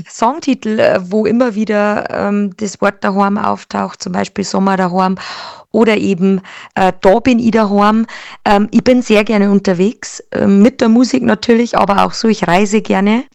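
A young woman from Lower Bavaria with a Bavarian accent, a singer answering interview questions with many hesitations and fillers. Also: vocal fry, a little mellow, no echo. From Lower Bavaria, Germany. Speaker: Schlager singer, interview guest.

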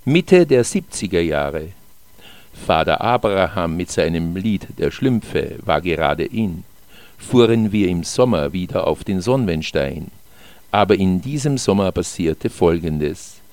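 A man reading his own short literary texts in a measured, descriptive storytelling manner. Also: sits low, lively intonation, darkish tone, no room sound. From Austria. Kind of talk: scripted literary narration.

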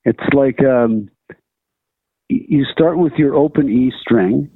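A man's voice: mid-range voice, takes it slowly, slightly rough. Older man on a tinny phone line. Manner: relaxed, self-deprecating, laughs often. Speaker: guest guitarist. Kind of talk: casual interview chat.

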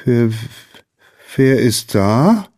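Man doing a kind little girl, raised pitch. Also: wildly swooping pitch, unhurried, a little mellow, husky, no echo, clean studio sound, full character voice. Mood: startled, curious. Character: kind, helpful little kindergarten girl, wide-eyed. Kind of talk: children's bedtime storytelling.

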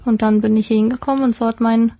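A woman's voice: on the high side, steady tone, fast talker, mellow. A German woman in her twenties, a guest on a radio interview, chatting casually with frequent laughter and fillers. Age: 20s. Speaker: guest, YouTuber and presenter. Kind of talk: casual chat.

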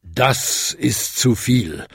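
A man voicing a huge, haughty old bell in a storybook, with a booming, rumbling, condescending delivery. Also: expressive, takes it slowly, vocal fry, breathy edge, dry recording, studio quality, exaggerated voice. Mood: indignant. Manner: pompous, condescending. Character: biggest old bronze bell, haughty, indignant, booming matriarch.

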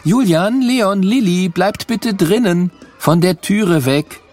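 A man voicing a female kindergarten teacher who calls out firmly and insistently to excited children in an audio story. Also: high-pitched, slow pace, soft background music, voice pushed up. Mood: urgent, firm. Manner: calling out, insistent.

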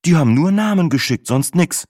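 A man voicing a cheerful, innocent young woman, the narrator's girlfriend, in a bright, chirpy manner. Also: on the high side, lively intonation, fairly quick, no room sound, studio quality, voice pushed up.

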